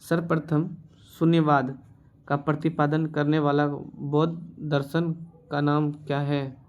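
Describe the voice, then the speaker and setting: A man's voice: on the high side, flat delivery, measured pace, highs cut off. A man teaching as a quiz host, reading out questions and answers in an instructional way and repeating the answers. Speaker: quiz teacher for exam preparation.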